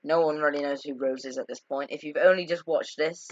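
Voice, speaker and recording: A young-sounding gamer giving casual commentary on a game's story in a halting way, often repeating and correcting words, on a lo-fi microphone. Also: mid-range voice, fairly even intonation, no room sound. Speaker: gaming video narrator. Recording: dull lo-fi microphone.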